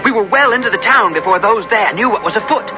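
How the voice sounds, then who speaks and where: An actor playing a brave, loyal young squire who both narrates and speaks in a radio drama, in earnest, formal, archaic language. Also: male voice, sits high, lively intonation, quick pace. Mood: excited, urgent. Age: young adult. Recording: muffled old radio broadcast with music.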